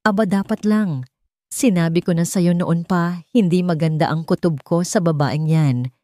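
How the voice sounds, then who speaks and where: A woman puts on a fierce older matriarch for an audiobook drama. She is insistent, scolding and protective of her family. The voice has a dark tone in a dry recording. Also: lowish voice, moderate pace, no echo, close to an everyday voice. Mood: scornful. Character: fierce, sharp-witted, protective older mother and grandmother. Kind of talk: dramatic audiobook character dialogue.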